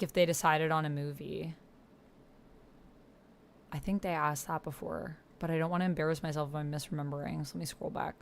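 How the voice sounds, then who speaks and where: A woman with a slightly low voice streams a text-based roleplaying game. She talks casually, thinking aloud and reacting to what she reads as she addresses her chat. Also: gravelly, fairly bright tone, clean tone.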